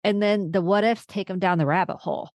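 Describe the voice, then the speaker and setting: A woman's voice: medium pitch, a little fast, a little mellow, dry recording. A woman hosting a conversational interview podcast, affirming her guest and repeating phrases for emphasis. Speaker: podcast host, therapist.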